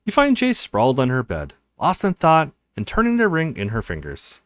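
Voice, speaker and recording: A man hosts a let's play and narrates a visual novel. His pitch is middling but swings widely as he talks, and the sound is close and dry. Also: wildly swooping pitch, moderate pace, dry recording. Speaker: let's play video host.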